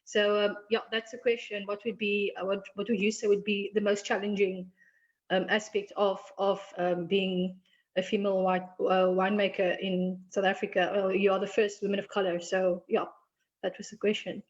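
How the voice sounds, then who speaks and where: A woman's voice: mid-range voice, flat delivery, normal speed, lo-fi sound. A woman asking a question on a video call, hesitant and full of ums.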